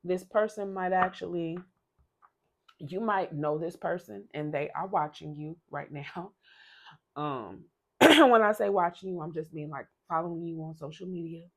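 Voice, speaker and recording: A woman's voice: on the low side, a little slow. A woman doing a chatty tarot reading to camera, rambling with restarts while sick and losing her voice. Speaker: tarot reader.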